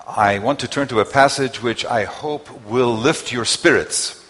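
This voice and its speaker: A man preaching in English through an interpreter, speaking in short phrases with pauses left for the translation. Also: mid-range voice, a bit bright. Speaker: guest preacher. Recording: long hall reverb.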